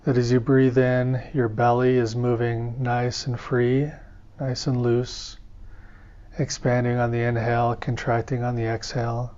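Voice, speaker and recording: A man leads a guided meditation as a teacher, speaking gently and without hurry. His mid-pitched voice stays level, with very little rise and fall. The recording is slightly dull and lo-fi. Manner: calm, gentle, unhurried. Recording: dull, muffled lo-fi audio.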